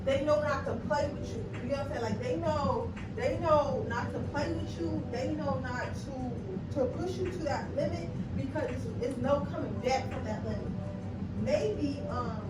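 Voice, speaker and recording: A woman's voice: high-pitched, normal speed. A woman giving a casual tarot reading, chatty and blunt, swearing freely and checking in with her viewers, her nose slightly stuffy. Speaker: tarot card reader. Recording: distant mic with noisy background.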